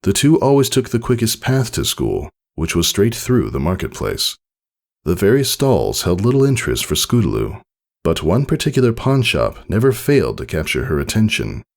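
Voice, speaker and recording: A man reading a story aloud as scripted narration, in an expressive storytelling manner. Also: fairly low pitch. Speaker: narrator reading fan fiction. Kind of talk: scripted audiobook-style narration.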